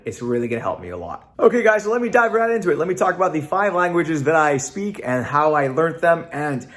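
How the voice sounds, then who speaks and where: A young Canadian man with a North American accent, a native Italian speaker, talking earnestly to camera in a casual vlog with lots of "uh" fillers. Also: fairly high pitch. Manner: earnest, repetitive, frequent "uh" fillers. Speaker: vlogger.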